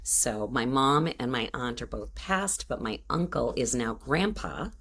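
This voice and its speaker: Very low-voiced woman in her fifties. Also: lively intonation, measured pace, breathy edge. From Boston area. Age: 50s. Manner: warm storytelling, frequent fillers and restarts. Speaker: guest entrepreneur. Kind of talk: casual interview chat.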